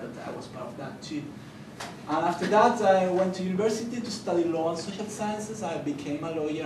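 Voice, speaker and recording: A young Chilean man with a Spanish accent talks earnestly on a conference panel, stumbling and restarting as he goes. His voice sits a little high for a man. It comes from a distance in a reverberant hall with steady background noise. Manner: earnest, halting, with many fillers and restarts. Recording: echoey hall, distant mic, noisy.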